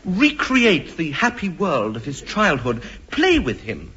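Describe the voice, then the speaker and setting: Pompous doctor, lively old radio comedy. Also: male voice, on the high side, exaggerated voice. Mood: earnest, advisory. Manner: deliberate, formal, clinical phrasing. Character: earnest, pompous male doctor with a childish streak. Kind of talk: 1950s radio comedy acting. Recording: noisy, dull old radio recording with clicks.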